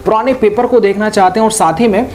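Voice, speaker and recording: An exam-prep presenter with a mid-pitched voice talks students through things in an informal explainer style. The delivery is didactic, with rhetorical check-in questions along the way. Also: fast talker, a little mellow.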